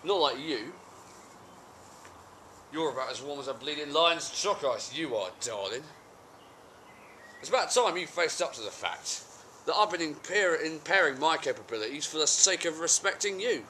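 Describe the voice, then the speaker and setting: A man acts out an aggrieved, cheeky husband justifying himself in a comic stage monologue. His voice is pushed high and bright, rising and falling a lot in an indignant, wheedling way. The amateur recording has faint background noise. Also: animated, normal speed, long pauses. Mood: sarcastic, aggrieved. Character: cheeky, aggrieved working-class husband making flimsy excuses.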